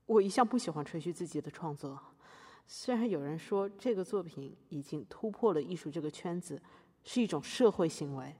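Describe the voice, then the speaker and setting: A woman acts out a self-regarding art-world defendant in a satirical podcast trial. Her voice is fairly low and husky, pompous and lofty, with hall reverb. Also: lively intonation, fairly quick, a little mellow. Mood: lofty, wounded. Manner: pompous, hesitant fillers, lofty jargon. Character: pretentious self-regarding conceptual artist, a defendant. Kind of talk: satirical podcast courtroom drama.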